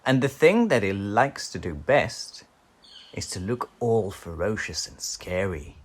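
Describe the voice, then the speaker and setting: A man reading a children's picture book aloud in an animated, theatrical way, drawing out key words. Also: lowish voice, unhurried, breathy edge.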